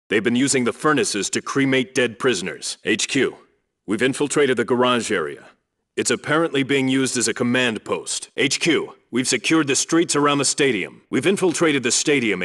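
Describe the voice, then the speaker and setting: A no-nonsense commando leader from a military video game. His mid-pitched voice has a hard, bright edge. He gives clipped, businesslike reports and orders with firm authority. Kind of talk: scripted radio call-outs, video game military acting.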